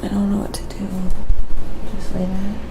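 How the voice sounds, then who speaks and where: A woman's voice: mid-range voice, completely flat delivery, normal speed. A woman hosting a live stream, chatting casually and informally with her viewers. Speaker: live stream host and painter. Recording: clear background noise, live stream.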